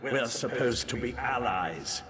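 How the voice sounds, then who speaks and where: Male game role, actor: dryly polite occult researcher, musing and curious, unhurried, very varied intonation. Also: medium pitch, expressive, natural voice. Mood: puzzled, intrigued. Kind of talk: video game character dialogue.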